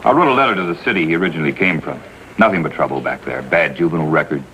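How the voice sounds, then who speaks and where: Brisk American police officer, factual and exasperated. Deep, quick delivery, 1950s crime drama. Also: male voice, lively intonation, sharp tone, heavily acted. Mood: weary, factual. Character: businesslike, exasperated male juvenile police officer. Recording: noisy vintage TV soundtrack.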